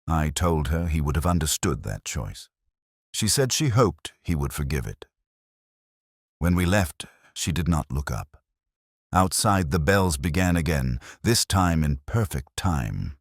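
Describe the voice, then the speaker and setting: A very low-voiced, melancholy police inspector played by a man recounts a case like a literary mystery. His husky voice moves expressively through measured, somber reflections. The recording is dry and close. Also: sing-song, no echo, full character voice. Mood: brooding, melancholy. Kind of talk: scripted first-person literary mystery narration.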